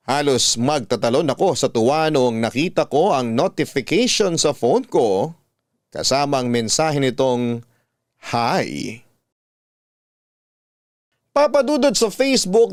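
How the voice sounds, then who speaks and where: A man's voice: medium pitch, dry recording. Casting: male radio-style storyteller, mid-range voice, scripted first-person romance narration, very dry recording. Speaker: host reading listener love stories.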